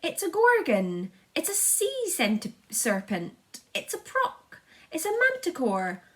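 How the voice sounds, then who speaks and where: A woman's voice: high-pitched, huge pitch swings, slow, a bit bright, voice pushed up, exaggerated voice. A woman voicing terrified firemen and policemen in a children's storybook, shrieking frantically. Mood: frantic.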